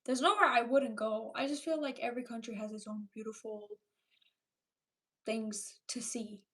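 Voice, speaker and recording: A high, bright-voiced woman in her twenties talks casually to her viewers on a livestream. She is animated and chatty and often says the same thing several times for emphasis. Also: normal speed, breathy edge. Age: 20s. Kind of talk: casual chat.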